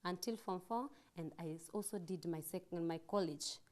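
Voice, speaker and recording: A woman's voice: lowish voice, moderate pace, a little mellow. A woman in her thirties with a Kenyan accent, a chef chatting casually as she demonstrates and answers questions. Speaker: chef, guest on a cooking show. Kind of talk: casual demonstration and interview chat.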